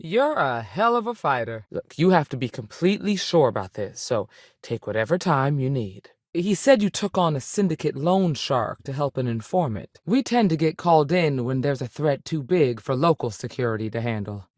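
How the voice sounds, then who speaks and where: Casting: principled female ranger in a sci-fi game, strict yet protective, folksy, very expressive pitch, dry close recording. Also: moderate pace, no echo. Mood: steady, firm. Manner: plain-spoken, authoritative, folksy. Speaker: video game character actor. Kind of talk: scripted game dialogue.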